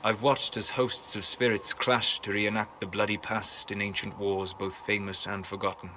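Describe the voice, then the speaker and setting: An actor playing a scholarly, courteous mage in a video game, speaking in a grave, formal and faintly lofty way. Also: male voice, on the low side, level tone, normal speed, pushed and bright, slight background noise. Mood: lofty, reflective. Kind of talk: video game character dialogue.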